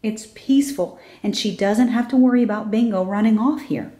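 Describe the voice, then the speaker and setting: A woman's voice: mid-range voice, normal speed, warm tone. A woman reading a children's story aloud as scripted narration. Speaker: storybook reader.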